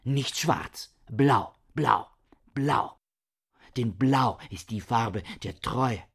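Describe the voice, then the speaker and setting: An actor in a radio play playing a cold, obsessive, misogynist scholar who talks in a pedantic, declamatory way. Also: male voice, medium pitch, extremely slow, gravelly, husky, full character voice. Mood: menacing, insistent. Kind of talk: radio drama acting, literary dialogue.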